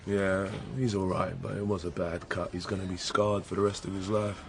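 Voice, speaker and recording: A man's voice: low-pitched, flat delivery, moderate pace, gravelly, a little hiss or hum. A British man playing a sceptical, impatient younger police detective in a radio drama, blunt and colloquial. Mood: matter-of-fact. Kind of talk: naturalistic radio drama dialogue.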